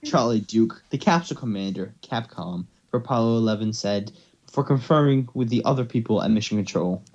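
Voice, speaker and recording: A man's voice: mid-range voice, expressive, a little fast, a little mellow, dull audio. A man hosting and narrating an amateur story reading, slipping between scripted narration and casual banter. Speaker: narrator and host.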